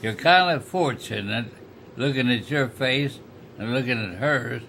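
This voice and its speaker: A man, talking casually to family in a halting, rambling, folksy and playful way. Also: mid-range voice, vocal fry, a bit bright, slight background noise. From Oklahoma, United States. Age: 90s. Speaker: retired preacher, grandfather of the vlogger.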